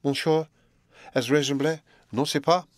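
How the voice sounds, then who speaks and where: A man reading aloud voices a shy, earnest young woman pupil, speaking softly and slowly in a raised tone. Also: fairly high pitch, fairly even intonation, no room sound, clean studio sound, voice pushed up, close to an everyday voice. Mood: eager. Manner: soft, subdued and slow.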